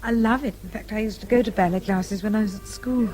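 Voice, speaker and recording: A woman's voice: medium pitch, fast talker, darkish tone, lightly acted. An actress playing a poised, curious young English woman in a radio drama, with a wry, understated manner. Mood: playful. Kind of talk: British radio drama acting.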